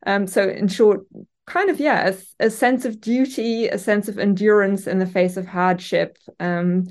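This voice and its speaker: A woman with a German accent talks through her research in an interview, her voice sitting at an ordinary middle pitch. She thinks aloud, hesitating with frequent ums and self-corrections as she builds each point. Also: measured pace, darkish tone. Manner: thoughtful, hesitant with many ums and restarts. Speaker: literature academic guest. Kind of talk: conversational interview answers. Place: university office. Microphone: remote call from office.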